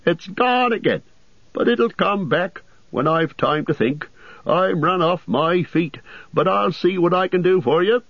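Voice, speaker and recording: A man voicing a bustling, forgetful, talkative middle-aged innkeeper in a storybook reading, in a high, hurried, fussy voice. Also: animated, dry recording, lo-fi sound, full character voice. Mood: flustered, busy.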